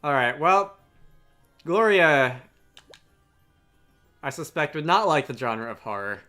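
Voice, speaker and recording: A man giving casual Let's Play commentary, talking haltingly and poking fun at himself, and reading game dialogue aloud. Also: fairly high pitch, animated, bright, forceful tone, soft background music.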